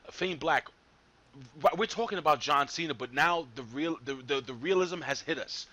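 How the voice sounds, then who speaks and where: Bright-voiced animated male host. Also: a little high, normal speed. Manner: animated, repeats himself, jumps between topics. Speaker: podcast host. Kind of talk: casual panel talk.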